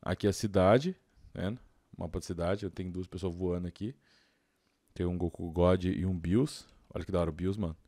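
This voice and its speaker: A young Brazilian man hosts a gaming video in a low, mellow voice. He talks informally and with plenty of slang, thinking aloud as he plays. The sound is dry, with no room around it. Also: fairly even intonation, fairly quick, dry recording. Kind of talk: casual gameplay commentary.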